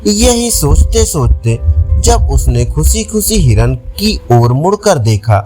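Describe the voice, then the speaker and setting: A man's voice: on the high side, a little mellow. A man narrating a scripted children's fable as a storyteller.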